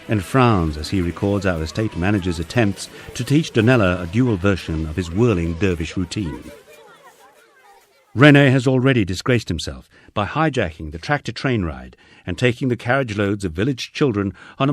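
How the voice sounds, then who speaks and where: A man reading a humorous memoir as scripted audiobook narration, with a wry storytelling manner. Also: fairly low pitch.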